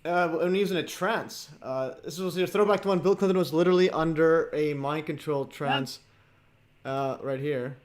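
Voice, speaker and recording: A man hosting a livestream, chatting casually with many fillers and pauses. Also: fairly high pitch. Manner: filler-heavy, chatty, laughs often. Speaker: host.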